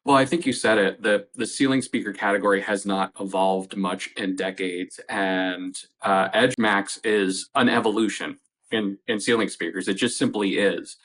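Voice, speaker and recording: A man giving a conversational, measured technical explanation as a product expert in an interview, restating points for emphasis. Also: on the low side, steady tone, fairly bright tone, rough recording. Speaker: company representative, audio product expert.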